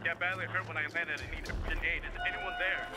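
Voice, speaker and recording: A man's voice: on the high side, steady tone, quick pace. A man playing an injured, desperate co-pilot calling for rescue over a radio in a video game. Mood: urgent, pained. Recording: radio transmission under loud game music.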